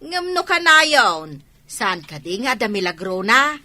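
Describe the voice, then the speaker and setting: A woman's voice: a little high, very animated, slow pace, sharp tone, exaggerated voice. A woman playing a gossipy, insinuating neighbour in a radio drama, with an Ilocano accent.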